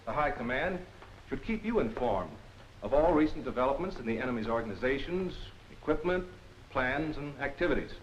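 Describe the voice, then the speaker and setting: On a muffled, lightly hissing old soundtrack, a formal military lecturer played by a man addresses trainees in 1940s film style. His mid-range voice is deliberate and emphatic, broken into careful phrases. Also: mid-range voice, animated, lightly acted.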